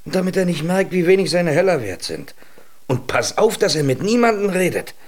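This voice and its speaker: An actor playing a shrewd, stingy farmer with a coaxing manner in a children's radio play. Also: male voice, high-pitched, big pitch swings, a little fast. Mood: cautioning, sly. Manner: calculating, coaxing. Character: shrewd, stingy farmer, falsely kindly husband.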